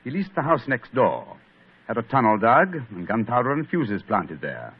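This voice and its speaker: A brisk authoritative detective, lively, quick, breathy, lo-fi 1940s radio. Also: male voice, medium pitch. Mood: explaining. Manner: crisp, authoritative. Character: brisk, commanding male detective. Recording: muffled old radio broadcast.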